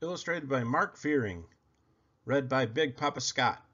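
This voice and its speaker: A man reads a children's picture book aloud with a widely swooping, highly animated pitch. His delivery is theatrical and expressive, with words drawn out for effect. The recording sounds a little dull and lo-fi. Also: unhurried. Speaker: storybook reader. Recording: slightly dull, lo-fi audio.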